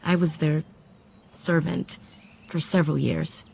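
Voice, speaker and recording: A composed elf wise woman from a fantasy game. Her voice is low, dark and creaky, and she speaks with calm, reflective formality. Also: measured pace, slight background noise. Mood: sombre, reflective. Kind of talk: fantasy video game acting, measured storytelling.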